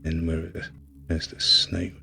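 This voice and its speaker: A man's voice: low-pitched, completely flat delivery, unhurried, vocal fry, pushed and bright, soft background music. A man giving casual gameplay commentary as a reviewer, often hesitant and thinking aloud. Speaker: video game reviewer.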